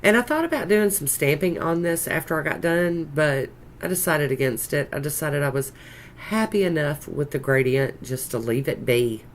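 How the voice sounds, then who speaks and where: Croaky Southern US woman, congested and chatty. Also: deep voice, animated, normal speed. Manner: folksy, rambling, chatty. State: congested with a sinus infection, sore throat. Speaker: nail art tutorial host. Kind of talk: casual narrated tutorial chat. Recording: noticeable background noise.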